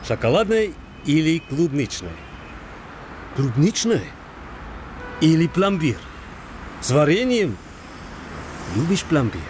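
A man's voice: fairly high pitch, big pitch swings, slow, pauses often, darkish tone, a little hiss or hum. An actor playing a predatory, pushy man on the street, a native Russian speaker in a radio drama. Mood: sly coaxing. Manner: coaxing, turning to angry demands. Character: predatory man on the street, coaxing then bullying.

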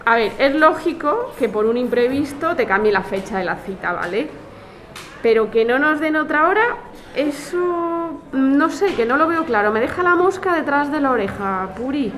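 An actress as blunt, highish-voiced Spanish woman. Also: lively intonation, moderate pace, fairly bright tone, slight background noise. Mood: doubtful. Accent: Spanish, from Spain. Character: indignant, distrustful woman activist, blunt and colloquial. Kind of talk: naturalistic audio drama dialogue.

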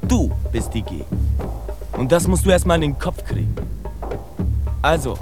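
An actor playing a proud, streetwise young fighter in a radio drama, speaking cocky slang straight at the listener. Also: male voice, fairly high pitch, very animated, moderate pace, music in the background. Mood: proud, insistent.

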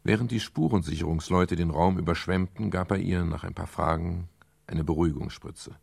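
A man's voice: low voice, fairly even intonation, normal speed, a little mellow, exaggerated voice. An actor playing a weary, world-worn male private detective who acts and narrates in a German radio crime drama. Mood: weary narration. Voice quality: gravelly, husky.

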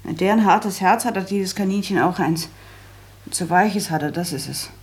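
An actress playing a self-assured, practical daughter in a German radio drama, speaking in a brisk, matter-of-fact, managing way. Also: female voice, mid-range voice, lightly acted. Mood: firm, dismissive.

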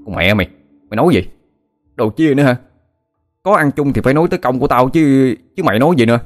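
A man voicing a hot-tempered, foul-mouthed young fisherman, shouting and cursing. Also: fairly low pitch, steady tone, racing, soft background music, close to an everyday voice. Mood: furious.

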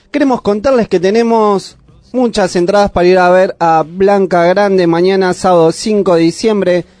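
A high-voiced Argentine man hosting a local radio show. His tone is mellow and dry, with little room sound and soft music under him. He talks in a chatty, teasing way. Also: dry recording. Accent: Argentine Spanish. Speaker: radio host. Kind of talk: casual radio chat. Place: radio studio.

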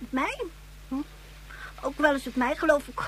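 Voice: high voice, expressive, normal speed, a bit bright, slight background noise. An actor in a radio play voicing a defiant, spiteful young girl with a stubborn, taunting manner. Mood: sullen.

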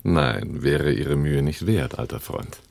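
An actor playing a cool, cautious arms smuggler on the run in a radio drama, with clipped, controlled delivery. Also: male voice, extremely low pitch, takes it slowly, vocal fry, darkish tone, dry recording, exaggerated voice. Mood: businesslike, guarded. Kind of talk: German radio drama dialogue and first-person narration.

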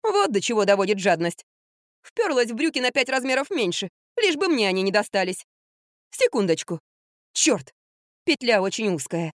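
A woman's voice: on the high side, sing-song, normal speed, no echo, clean studio sound, voice pushed up. A woman voicing a bossy, spiteful young actress in an audiobook, snapping and whining. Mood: gloating, bossy.

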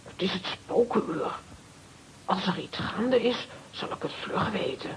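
An actress playing an excitable, worried but determined aunt in a children's audio drama. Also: female voice, medium pitch, unhurried, background noise, lightly acted. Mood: nervous, hushed.

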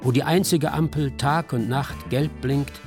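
A man narrates a scripted radio documentary with a medium-pitched voice. He tells it in a measured, descriptive way, with music clearly audible behind him. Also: darkish tone.

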